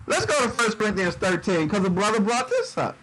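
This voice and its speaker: A man giving livestreamed Bible teaching in a relaxed preaching style, reading scripture aloud and riffing on it, often repeating words as he goes. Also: high voice, fairly quick, a little hiss or hum, rough recording. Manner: conversational preaching, reads scripture aloud and riffs on it; uses Southern-style 'y'all', stumbles and repeats words. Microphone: livestream microphone.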